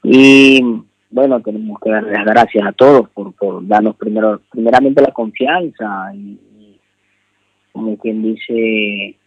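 A Venezuelan man in his thirties answers a radio interview politely, with halting repetitions. His voice is rough and creaky. The sound is dry and dull, like a call into the show. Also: lowish voice, dry recording. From Maracaibo, Venezuela. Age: 30s. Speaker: jockey, interview guest.

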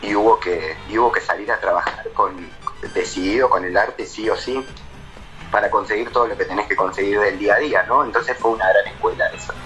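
An Argentine man in his thirties, a painter answering interview questions casually, with hesitant pauses and restarts. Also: on the low side, fairly even intonation, bright, forceful tone, faint music behind, poor audio. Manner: hesitant, many fillers and restarts.

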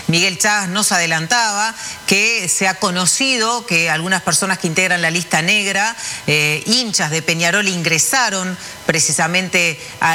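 A female news anchor, her voice very bright and sharp. She reads the lead-in steadily in a mid-pitched voice. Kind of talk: scripted news presenting.

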